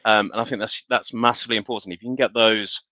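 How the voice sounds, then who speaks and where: Man, lowish pitch, bright forceful tone, halting and self-correcting, business discussion, slightly dull webcast sound. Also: fairly even intonation, dry recording. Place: online live stream.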